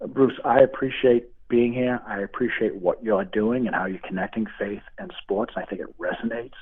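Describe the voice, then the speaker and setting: A middle-aged man with a Boston accent talks earnestly and warmly of faith as a guest. His voice is a little husky and comes through a tinny phone line. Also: lowish voice, steady tone, normal speed. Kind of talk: interview over the phone.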